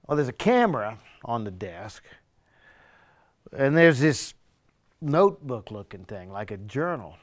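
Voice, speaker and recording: An actor playing a streetwise, remorseful burglar who tells his story in halting, conversational stage monologue. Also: mid-range voice, big pitch swings. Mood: sly, conspiratorial. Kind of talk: one-man stage play monologue.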